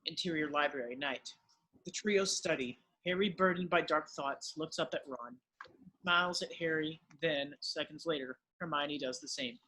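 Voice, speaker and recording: A man giving scripted narration, reading the stage directions of a drama in a husky voice. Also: on the high side, sharp tone, low-quality recording.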